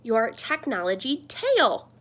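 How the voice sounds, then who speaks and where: A woman voicing a friendly, earnest talking computer screen that gently scolds, in a children's picture book. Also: fairly high pitch, wildly swooping pitch, slow pace, heavily acted. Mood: earnest, insistent. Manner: earnest, explanatory.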